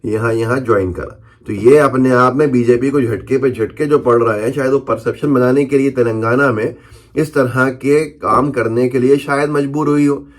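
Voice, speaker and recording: A man's voice: medium pitch, fairly even intonation, normal speed. Man giving emphatic political news commentary. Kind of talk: unscripted political news commentary, reading out newspaper headlines.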